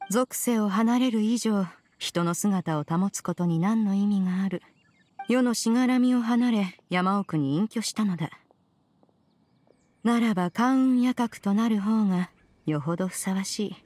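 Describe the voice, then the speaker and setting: Wise immortal adept, played by a woman: mid-pitched, stately, aloof, composed archaic delivery for an anime game. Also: unhurried, darkish tone, natural voice. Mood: aloof, dismissive. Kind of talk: video game dub acting.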